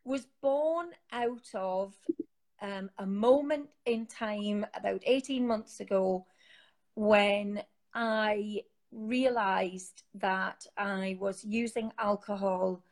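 A woman's voice: medium pitch, slow pace. Chatty Geordie woman, lots of fillers. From Newcastle, England. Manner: chatty, rambling, with many fillers and restarts. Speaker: guest, founder of an online support group. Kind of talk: casual live interview. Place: home, sitting on a sofa. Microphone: phone.

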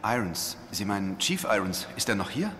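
A man's voice: fairly low pitch, very animated, moderate pace, pushed and bright. An actor playing an earnest, polite young rookie policeman in an audio drama. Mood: earnest, wary. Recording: reverberant hall, faint background noise.

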